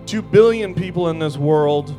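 A man's voice: fairly high pitch, normal speed, over music. A man giving casual, joking church announcements about the offering and the welcome cards. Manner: breezy, with fillers and jokes. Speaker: church host.

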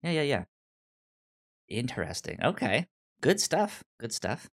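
A man hosting a casual podcast at an unhurried pace. His pitch swings around a lot, and he often stumbles and repeats words as he gets a sentence going. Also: big pitch swings, gravelly, a bit bright, breathy edge. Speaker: podcast host steering the segments. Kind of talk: casual chat.

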